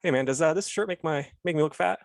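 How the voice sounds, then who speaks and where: A man's voice: a little high, fast, gravelly, dry recording, studio quality. A man co-hosting a podcast, chatting casually in a laid-back, rambling way. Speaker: podcast co-host. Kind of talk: casual chat.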